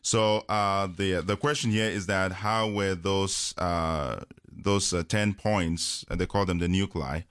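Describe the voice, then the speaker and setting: Man from Cameroon, very deep voice, slow and halting, dry studio sound. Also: steady tone, fairly bright tone, clear, resonant tone, dry recording. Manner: slow, hesitant, many fillers, chuckles often. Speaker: priest guest. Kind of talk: casual radio chat. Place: radio studio.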